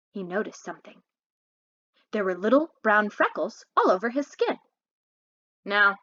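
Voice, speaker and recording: A woman reading a children's story aloud in an expressive, animated way, like a storyteller. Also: on the high side, muffled recording. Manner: animated, pauses to ask listeners questions. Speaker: read-aloud host, storyteller.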